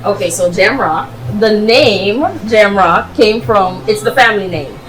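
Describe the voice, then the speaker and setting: A woman's voice: mid-range voice, a little slow, fairly bright tone, rough recording. A woman chatting casually as an interview guest, explaining things in a talkative way peppered with "you know". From Guyana, raised in Barbados. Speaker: restaurant owner, interview guest.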